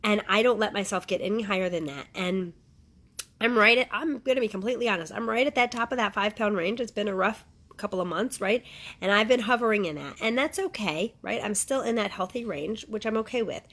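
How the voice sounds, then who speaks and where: A woman's voice: mid-range voice, a little fast, a bit bright. Earnest, emphatic woman giving motivational talk. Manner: earnest, emphatic, repetitive with frequent "right?" check-ins. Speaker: health and wellness coach. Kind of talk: informal motivational talk to viewers.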